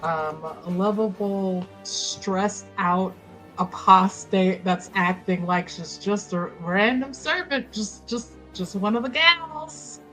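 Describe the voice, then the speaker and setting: A woman with a bright, forceful voice at a middling pitch introduces her game character in casual chat. She is playful and a bit halting. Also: soft background music, poor audio. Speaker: player on tabletop roleplaying show.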